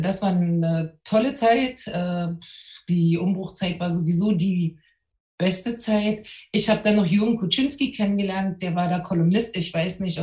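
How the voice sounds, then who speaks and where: A woman's voice: on the low side, darkish tone. A middle-aged woman from East Berlin, a journalist guest, telling her story thoughtfully with frequent hesitations. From East Berlin, Germany. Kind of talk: personal account on a panel. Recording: echoey room.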